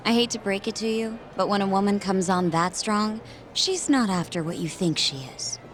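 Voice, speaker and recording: A woman's voice: medium pitch, normal speed, lightly acted. Actress as secretive, insistent young woman. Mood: exasperated, businesslike. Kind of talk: English game dub cutscene acting. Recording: music behind the dialogue.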